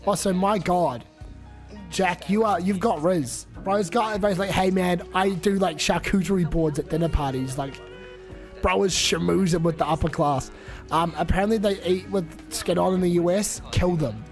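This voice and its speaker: A man's voice: high voice, moderate pace, faint music behind. A young man with a New Zealand accent who is sick, rambling and swearing casually on a live stream while reading chat aloud.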